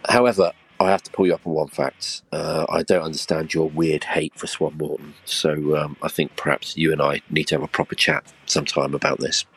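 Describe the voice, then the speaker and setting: A fellow podcaster leaving a friendly, teasing voice message. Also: male voice, very deep voice, level tone, brisk, few pauses, fairly bright tone, breathy edge, low-quality recording. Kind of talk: recorded voice message.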